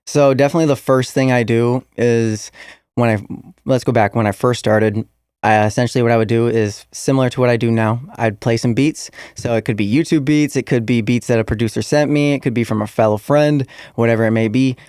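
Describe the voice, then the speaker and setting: A man's voice: mid-range voice, steady tone, a little fast, a little mellow, clean tone, no echo, clean studio sound. A young man, a musician guest in an interview, answering casually with many fillers and restarts.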